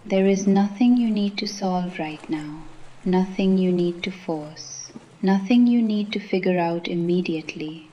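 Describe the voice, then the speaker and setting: Soft soothing lady doing guided meditation, really slow, warm mellow tone, bit of hiss behind her. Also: lowish voice, muffled recording. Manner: slow, gentle, soothing, with pauses.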